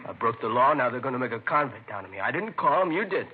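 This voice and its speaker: An actor playing a surly, defiant young drug addict in a 1950s radio drama. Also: male voice, medium pitch, big pitch swings, fast talker, a little hiss or hum, lightly acted. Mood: bitter. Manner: sullen, insolent. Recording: tinny, band-limited vintage radio recording.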